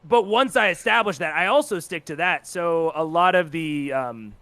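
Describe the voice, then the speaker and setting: A man's voice: a little high, lively intonation, moderate pace, fairly bright tone, dry recording. A man speaking as an interview guest, informally explaining how he runs tabletop games. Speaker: guest, content creator and game master. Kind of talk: casual interview chat about tabletop games.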